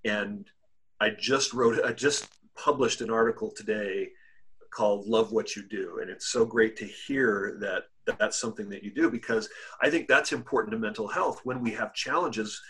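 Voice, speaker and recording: A man hosting a podcast interview, speaking in a halting way with many restarts as he introduces his guest. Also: on the low side, a bit bright, slightly rough. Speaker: podcast host.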